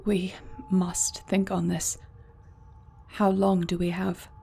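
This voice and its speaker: Woman playing a grave, creaky-voiced warrior princess. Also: fairly low pitch, monotone, dark tone, a little hiss or hum. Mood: solemn, hushed. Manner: solemn, deliberate, formal. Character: noble warrior princess, grave, torn, devoted wife. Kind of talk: fantasy audio roleplay acting.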